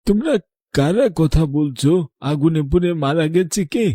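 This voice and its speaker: A man's voice: on the high side, lively intonation, normal speed, dark tone, no echo, clean studio sound. A man voicing a polite, troubled elderly village father-in-law in a horror cartoon, hesitant and questioning. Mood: polite, curious.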